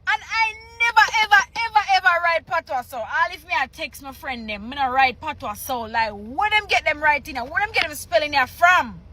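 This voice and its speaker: A woman's voice: high voice, expressive, fairly quick, few pauses, pushed and bright, no room sound. A Jamaican woman reacting to a text and reading it aloud, incredulous and laughing, repeating herself for emphasis. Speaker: reaction video host.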